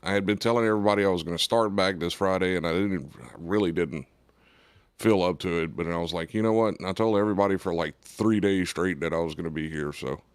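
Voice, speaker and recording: Deep-voiced laid-back male co-host. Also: quick pace, clean tone, dry recording. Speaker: radio show co-host. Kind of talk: casual radio chat.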